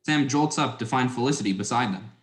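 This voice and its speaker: A man's voice: mid-range voice, quick pace. A young adult man reading scripted stage directions as a narrator. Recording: echoey, reverberant room.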